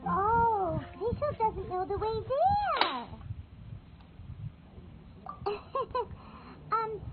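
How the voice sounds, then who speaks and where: A woman voicing an excitable, whiny, childlike fairy companion in an anime game, with a squeaky voice. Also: very slow, over loud music, heavily acted. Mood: coaxing. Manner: speaks of self in third person, exclamatory. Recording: muffled narrow-band game audio.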